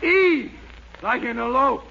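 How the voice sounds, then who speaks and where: A man's voice: very high-pitched, animated, slow pace, vocal fry, exaggerated voice. An actor playing an impatient, exasperated man in a frantic hurry in a 1940s radio comedy. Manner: urgent, blustery. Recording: noisy, dull 1940s radio recording.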